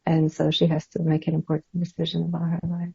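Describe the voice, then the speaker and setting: A woman's voice: low-pitched, completely flat delivery, quick pace, gravelly, mellow, slightly rough, lo-fi sound. A woman from Iran whose first language is Kurdish, answering interview questions as an author in an earnest, reflective way. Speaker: author guest.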